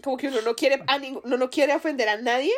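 A woman's voice: high voice, steady tone, fast. A woman from Colombia with a Colombian accent, co-hosting a podcast in casual chat, laughing often and restarting her sentences. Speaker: podcast co-host.

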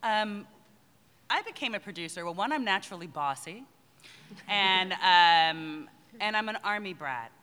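Bright lively woman producer. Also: mid-range voice, measured pace. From New Jersey. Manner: lively, laughing. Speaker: film producer. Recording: reverberant hall.

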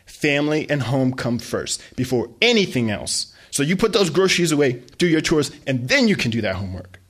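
A man voicing a domineering middle-aged mother who scolds and threatens her son, with a husky voice. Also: mid-range voice, big pitch swings, quick pace, pitched above the performer's own voice. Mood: stern.

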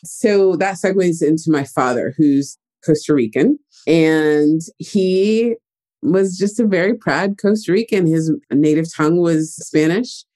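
Chatty man with a mellow voice. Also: fairly high pitch, a little slow. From United States. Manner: animated, chatty storyteller. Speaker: guest. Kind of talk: casual interview talk.

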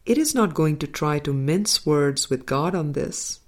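A woman reads a spiritual book aloud in an unhurried, deliberate way, stopping to comment between passages. Her voice sits low, and her phrases often fade to a soft, quiet close. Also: expressive. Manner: slow, deliberate, soft-spoken with quiet trailing endings. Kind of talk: measured audiobook-style reading with commentary.

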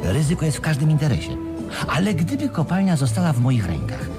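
Shrewd, confident swindler; lively intonation, patiently explanatory, radio drama, music behind. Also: male voice, a little high, fast talker. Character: shrewd, self-satisfied male schemer explaining his swindle. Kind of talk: Polish radio play acting.

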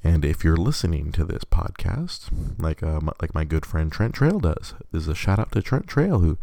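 Congested man with a deep, gravelly voice. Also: very animated, moderate pace, warm tone, no room sound. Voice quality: extra bass in the voice from illness. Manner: rambling, self-correcting, chatty. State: congested, sick voice. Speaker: podcast host. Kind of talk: casual live-streamed podcast monologue.

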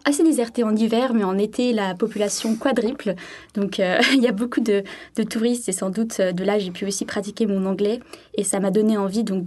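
A young woman from the south of France talks as a guest in a radio interview. Her voice sits at an average pitch, and she explains herself in a chatty, conversational way. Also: a little mellow. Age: young adult. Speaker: interview guest, French language assistant.

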